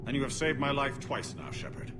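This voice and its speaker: A man's voice: medium pitch, steady tone, fairly quick, heavy background noise, natural voice. A man playing a dignified male alien statesman in a video game, formal and stately. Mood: grateful.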